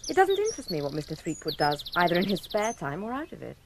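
An actress with an English accent playing a sensible, unimpressed young woman with a brisk, prim manner in a radio drama. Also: fairly low pitch, wildly swooping pitch. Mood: prim, impatient. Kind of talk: 1980s radio drama acting. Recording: radio drama with background noise.

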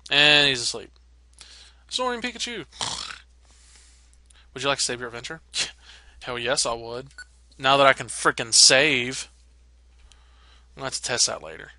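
A man's voice: medium pitch, expressive, normal speed, pauses often, bright, forceful tone, no echo. A man casually commentating on a game as he plays, wry and given to mild swearing. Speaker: let's player. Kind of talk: casual game commentary, reading text aloud.

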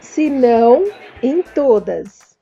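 A woman's voice: sits high, expressive, drawn-out words, gravelly, dark tone, muffled recording, soft background music. A woman with a Brazilian Portuguese accent, narrating a vlog about a trade fair and talking straight to her viewers in a chatty way. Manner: chatty, addresses viewers directly. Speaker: vlogger and confectioner.

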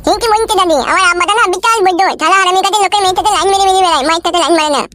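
A woman voicing a cheeky, foul-mouthed little village boy in a comedy cartoon, with a rural West Bengal accent and a pitched-up voice. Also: rapid-fire, a bit bright. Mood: brash, cheeky. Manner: brash, mouthy.

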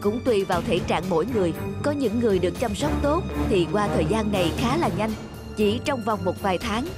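A conscientious hospital doctor from a cartoon drama, voiced by a woman. The mid-pitched voice gives reassuring, careful advice. Music is clearly audible behind it. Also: expressive, close to an everyday voice. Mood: advising, reassuring. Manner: explanatory, measured advice-giving. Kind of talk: animated moral story dubbing.

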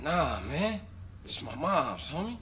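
A man's voice: on the high side, sing-song, slow, sharp tone, a little hiss or hum, heavily acted. An actor playing a loyal, easygoing young man back home, speaking casual street in a video game. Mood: grieving. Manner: casual, slangy. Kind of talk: video game cutscene acting.

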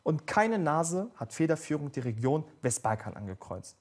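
A young man telling his story as a guest on a TV sofa interview, speaking eagerly into a lapel mic. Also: fairly high pitch. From Germany. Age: young adult. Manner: fast, eager storytelling with animated hand gestures. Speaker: politician guest. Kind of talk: conversational TV interview. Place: TV studio sofa set.

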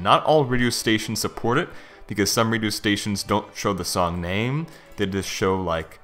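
Low-voiced man giving a software walkthrough. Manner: informal, conversational, thinking aloud. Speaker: software reviewer. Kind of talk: casual screen-recorded software walkthrough. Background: background music.

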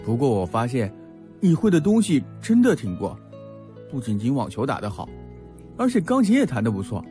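An actor playing a playful, affectionate young male student who gently teases in an audio drama. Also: mid-range voice, wildly swooping pitch, moderate pace, faint music behind. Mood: teasing, admiring. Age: young adult.

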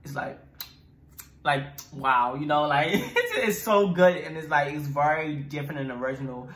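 A man's voice: fairly high pitch, normal speed, a bit bright. A man in his twenties hosting a reaction video, chatting casually and effusively, repeating himself for emphasis. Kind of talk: casual enthusiastic review chat. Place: small room indoors. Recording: echoey room.

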